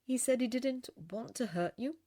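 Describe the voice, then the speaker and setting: A woman's voice: on the high side, moderate pace, warm tone, pitched above the performer's own voice, lightly acted. A woman voicing a stern, loyal young woman in a story, blunt and no-nonsense. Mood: gentle concern.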